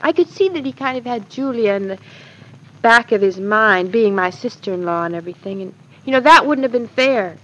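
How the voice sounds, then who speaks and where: On a muffled old radio recording, a young American wife with a fairly high voice. She is naive, earnest and openly affectionate, in 1940s radio play manner. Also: expressive, fairly quick. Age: young adult. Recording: dull, lo-fi vintage radio recording.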